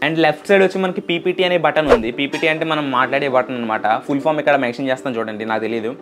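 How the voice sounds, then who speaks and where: A man's voice: medium pitch, very fast. A man chatting casually and explaining things as he hosts an unboxing and product demo. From India. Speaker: video host. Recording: loud music behind the voice. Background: loud music.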